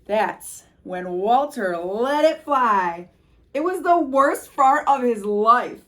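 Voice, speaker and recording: A woman reading a children's story aloud as a volunteer, with animated, emphatic delivery. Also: fairly high pitch, slow.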